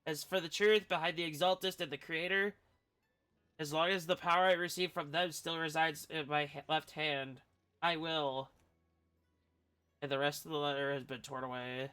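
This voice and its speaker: A man's voice: fairly high pitch, steady tone, moderate pace, pushed and bright, clean tone. A man who streams games, reading story text aloud and adding casual commentary. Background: video game music.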